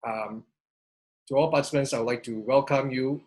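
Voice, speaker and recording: A man moderating a webinar panel formally and politely, with halting phrasing. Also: mid-range voice, fairly even intonation, darkish tone.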